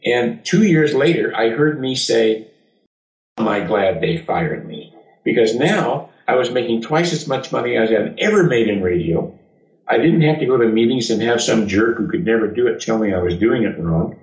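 Older American male raconteur, mid pitch, emphatic with dramatic pauses, picked up from a distance in an echoey room. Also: expressive, darkish tone. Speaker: voice actor and former radio announcer. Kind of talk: autobiographical storytelling to an audience.

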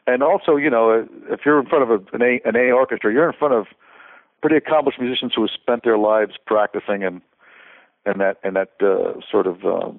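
A man's voice: fairly low pitch, brisk, vocal fry, no echo. An older man from Connecticut, a conductor and composer, talking casually as an interview guest, rambling with many restarts and fillers. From Connecticut, USA. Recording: tinny phone-like line.

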